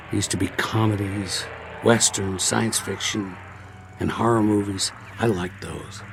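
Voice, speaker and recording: An actor playing a weary, talkative survivor soldier in a radio drama, with a gravelly voice and a wry, folksy, rambling way of speaking. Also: male voice, fairly low pitch. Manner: folksy, rambling, colloquial monologue delivery.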